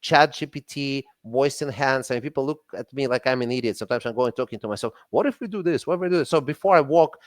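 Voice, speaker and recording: A man with a Russian accent talks as a guest on a business podcast. He is animated and repeats words when excited. The sound is dry and close, with no room around it. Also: mid-range voice, quick pace, few pauses, no room sound. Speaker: guest, company CEO. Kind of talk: casual podcast conversation.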